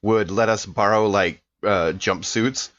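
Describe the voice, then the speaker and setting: Man, lowish pitch, casual tabletop role-play, slightly muffled audio. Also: a little slow, noisy background. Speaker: tabletop game player.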